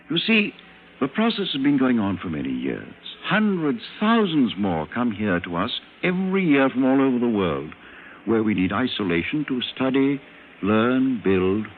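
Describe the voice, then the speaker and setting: A man playing a calm, condescending doctor of a superior evolved race, lecturing deliberately in an old-time radio drama. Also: medium pitch. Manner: lofty, didactic, deliberate. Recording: noisy, narrow-band old radio recording.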